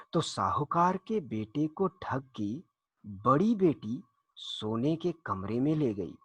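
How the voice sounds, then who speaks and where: A man narrating a folktale for children in an expressive, deliberate storytelling manner with dramatic pauses. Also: mid-range voice, breathy edge, no echo.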